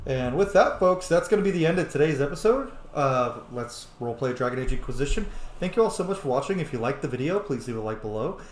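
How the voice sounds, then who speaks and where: Casting: male gaming host, irreverent and sweary, very lively intonation, echoey room sound. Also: medium pitch, fast talker, slight background noise. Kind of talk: casual roleplay let's play commentary. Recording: echoey room, faint game music behind. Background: faint game music.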